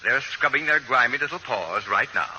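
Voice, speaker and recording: An actor playing a haughty, precise gentleman genius in a 1940s radio drama, pompous and formal, with clipped diction. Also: medium pitch, animated, moderate pace, highs cut off. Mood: haughty disdain. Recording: bright, noisy old radio recording.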